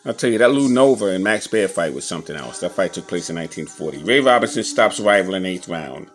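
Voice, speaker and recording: A man giving a casual show-and-tell commentary as an enthusiastic collector, halting a little as he reads old clippings aloud. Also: deep voice, animated, faint music behind. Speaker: boxing memorabilia collector showing his scrapbooks on video.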